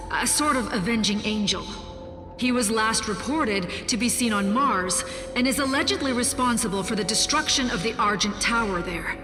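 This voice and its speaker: Woman as a reverberant, measured emergency newsreader. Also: on the high side, close to an everyday voice. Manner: formal, measured, reporting. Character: measured female newsreader on an emergency resistance broadcast. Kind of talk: scripted news broadcast in a video game. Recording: long reverb with music behind.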